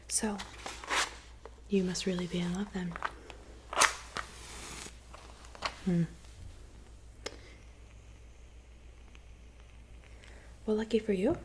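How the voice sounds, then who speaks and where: Whispering, slow-spoken woodland witch played by a woman. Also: lowish voice, close to an everyday voice, rough recording. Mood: calm, helpful. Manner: soft, unhurried, murmuring hums. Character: calm, helpful witch in a woodland cabin. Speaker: ASMR performer. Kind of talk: whispered ASMR roleplay.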